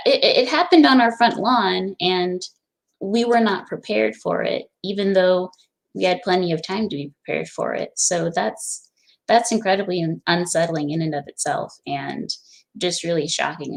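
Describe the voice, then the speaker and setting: Gravelly low-voiced young woman moderator. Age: young adult. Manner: earnest, agreeing with short backchannels. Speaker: discussion moderator. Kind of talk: casual discussion.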